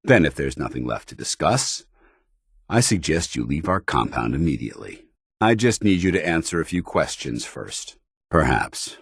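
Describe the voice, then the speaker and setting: Give this game soldier a very low, clean voice recorded close and dry. He is a stern, earnest paladin, formal and commanding, loyal to his order. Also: sing-song, normal speed, vocal fry, slightly rough, no echo, full character voice. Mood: guarded. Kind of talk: video game companion dialogue.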